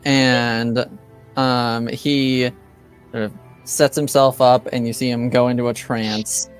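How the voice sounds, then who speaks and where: A man narrating an improvised tabletop game as game master, halting and tentative as he feels for words. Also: mid-range voice, flat delivery, faint music behind.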